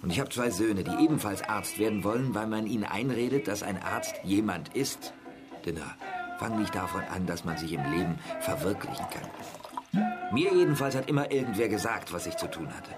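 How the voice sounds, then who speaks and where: A male actor plays a middle-aged doctor worn down by life. The voice sits fairly low, bitter and resigned, in radio drama style over clearly audible music. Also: animated, normal speed, close to an everyday voice. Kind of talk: naturalistic German radio crime drama acting. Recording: music under the dialogue.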